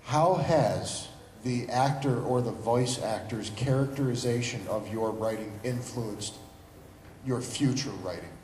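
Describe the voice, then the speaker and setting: A man with a mid-range voice puts a careful, deliberate question as an audience member. The room is big and echoey, with slight noise. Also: medium pitch, a little mellow. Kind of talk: convention Q&A question. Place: large indoor convention panel room. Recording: echoey reverberant hall, light noise.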